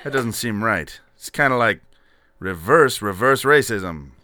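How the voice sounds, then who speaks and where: A brash adventurer hero, played by a man, with a lowish, bright and forceful voice. He is cocky and quippy, recorded dry for a comedy audio drama. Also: expressive, measured pace, no echo. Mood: indignant. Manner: brash, wisecracking.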